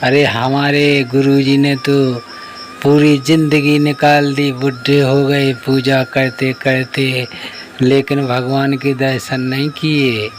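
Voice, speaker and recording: A man's voice: medium pitch, level tone, slow pace, faint music behind, close to an everyday voice. A man voicing a young priest's disciple in a devotional cartoon, speaking with deference and wonder. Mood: reverent wonder. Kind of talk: Hindi devotional cartoon story acting.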